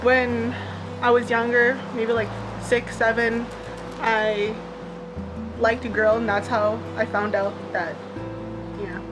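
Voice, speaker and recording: A woman's voice: on the high side, flat delivery, slow, pauses often, rough recording. A nineteen-year-old woman answering interview questions in a chatty, loose way with fillers. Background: background music.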